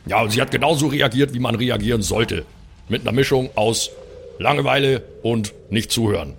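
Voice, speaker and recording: A man's voice: medium pitch, brisk, gravelly, a little hiss or hum. A man, probably in his thirties, improvising as a bossy, slangy player in a tabletop role-playing game. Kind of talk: improvised in-character tabletop play and banter.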